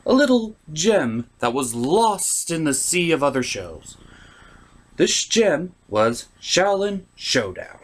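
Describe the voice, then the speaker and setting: A man's voice: a little high, very animated, slow pace. A man delivering scripted comedic review narration as a theatrical, opinionated show host.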